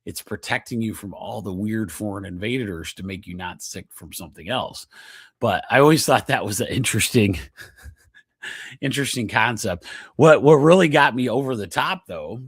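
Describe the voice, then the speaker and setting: A man's voice: on the low side, animated, moderate pace, breathy edge, dry recording, studio quality. A middle-aged man hosting a chiropractic health podcast, with a nasal voice, talking in a chatty, rambling way and often checking in with the listener. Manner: chatty, digressive, laughs often, checks in with "okay?". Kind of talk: casual health talk.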